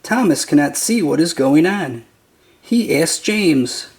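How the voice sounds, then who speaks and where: Slow, lively children's storybook narrator. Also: medium pitch. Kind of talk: read-aloud picture book narration.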